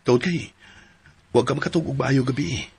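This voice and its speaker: A man with a Filipino accent and a husky voice playing a gentle, devout father in a radio drama. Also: medium pitch, normal speed, dry recording. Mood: tender. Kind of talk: Philippine radio drama acting.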